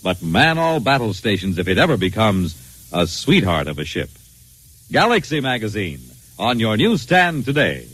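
A man's voice: fairly low pitch, big pitch swings, moderate pace, fairly bright tone. A man announcing from a script in 1950s radio style, with a polished, showy delivery. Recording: vintage radio broadcast, music behind. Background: clear music.

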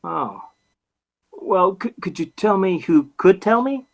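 An actor playing a naive, polite young husband who stammers and hesitates, in old-time radio comedy style. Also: male voice, sits high, animated, takes it slowly, gravelly, full character voice. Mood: flustered.

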